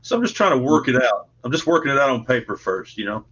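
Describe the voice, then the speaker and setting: A man's voice: medium pitch, very animated, quick pace, fairly bright tone, husky, lo-fi sound. A man chatting casually as a guest comic artist, explaining things in an informal, rambling way. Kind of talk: casual chat.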